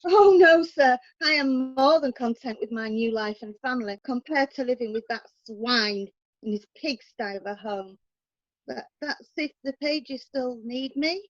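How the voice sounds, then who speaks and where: A woman playing a high-voiced medieval wife. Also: unhurried, dry recording, lo-fi sound. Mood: earnest. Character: young medieval wife, relieved and defiant.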